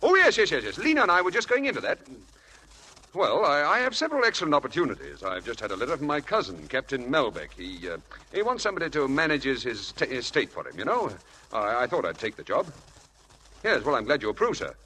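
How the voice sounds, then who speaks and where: Glib, animated charmer husband, old radio. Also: male voice, mid-range voice, fast, rough recording. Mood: ingratiating. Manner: flippant, glib, coaxing. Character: charming, feckless spendthrift husband, breezy and evasive. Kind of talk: 1940s radio drama acting. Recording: hissy old radio broadcast.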